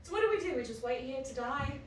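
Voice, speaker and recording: A woman's voice: high voice, lively intonation, fast, recorded from a distance. An actress playing an outspoken, irreverent young woman in a science fiction audio drama, blunt, exasperated and full of questions. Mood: anxious. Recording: very echoey, off-mic, faint noise.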